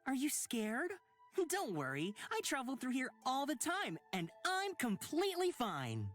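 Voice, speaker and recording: A breezy, teasing young traveller, swooping intonation. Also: female voice, sits high, huge pitch swings, a little slow, full character voice. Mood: playful teasing. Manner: playful, teasing. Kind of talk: visual novel voice acting. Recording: music behind the voice.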